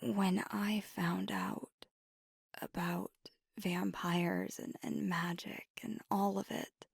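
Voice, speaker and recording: Hushed, breathy vampire girlfriend, acted by a woman. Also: on the low side, fairly even intonation, dry recording, clean studio sound, heavily acted. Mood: wistful, vulnerable. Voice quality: breathy whisper. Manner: halting, hushed, mostly whispered confession. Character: gentle, remorseful female vampire girlfriend, anxious, tender confessor. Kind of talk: intimate ASMR audio roleplay. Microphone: close, dry microphone.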